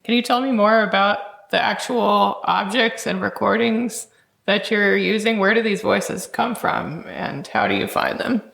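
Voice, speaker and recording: A woman hosting an interview, asking scholarly questions in a measured, articulate way. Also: medium pitch, vocal fry, fairly bright tone, a little hiss or hum. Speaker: interviewer, podcast host. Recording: echoey room.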